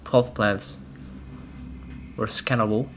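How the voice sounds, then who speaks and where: A man whose first language is Dutch, talking casually through a gameplay session with frequent ums and soft chuckles. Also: on the low side, steady tone, takes it slowly, slight background noise. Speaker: gamer. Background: video game music.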